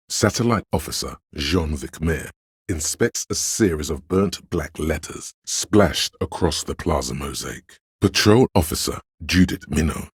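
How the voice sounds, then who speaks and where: Very deep, gravelly man narrating. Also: no room sound, studio quality. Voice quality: gravelly, husky. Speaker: video game narrator. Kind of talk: dramatic literary scripted narration. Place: close, dry studio.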